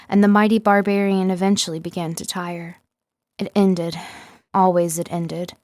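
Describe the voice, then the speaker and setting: A woman reading scripted story narration as an audiobook narrator, with expressive, dramatic storytelling. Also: fairly low pitch, dry recording. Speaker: audiobook narrator, voice-over artist.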